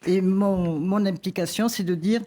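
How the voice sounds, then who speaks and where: A woman's voice: medium pitch, a little slow, mellow. A woman academic from France, an election candidate, speaking in a debate, hesitant and searching for words. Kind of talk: debate statement.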